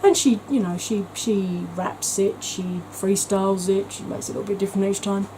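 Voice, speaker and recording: A woman's voice: medium pitch, fairly even intonation, normal speed, dark tone, slight background noise, rough recording. A woman talking in a chatty, gushing way as a beauty vlogger, with frequent ums. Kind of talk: casual vlog chat.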